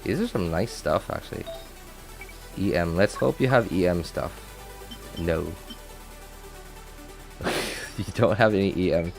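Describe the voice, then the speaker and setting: A man giving casual, chatty live gameplay commentary as a streamer, thinking out loud and reacting as he goes. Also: sits low, unhurried, vocal fry, pauses often, faint music behind. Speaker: game streamer.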